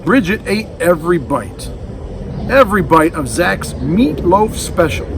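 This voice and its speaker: A man's voice: high voice, sing-song, unhurried, distant mic, background noise. A man reading a children's storybook aloud, adding casual asides to young listeners. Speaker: storybook reader. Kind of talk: children's read-aloud narration.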